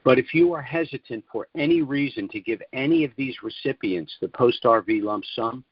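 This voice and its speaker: A man giving a sales presentation on a conference call, speaking in deliberate, measured phrases with emphatic pauses. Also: medium pitch, slightly rough, no room sound. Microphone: conference call line. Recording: dull, lo-fi conference call audio.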